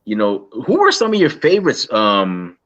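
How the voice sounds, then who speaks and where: A man from Guyana interviewing a guest in a casual, halting way, with many restarts and "you know". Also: fairly low pitch, wildly swooping pitch, fairly bright tone. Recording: dull lo-fi sound.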